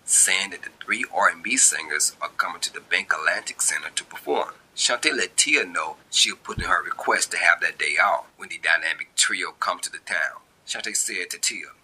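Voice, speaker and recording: A man's voice: low-pitched, moderate pace, few pauses, pushed and bright, a little hiss or hum, rough recording. A man narrating a scripted short story of his own in informal vernacular phrasing. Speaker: amateur author reading own story.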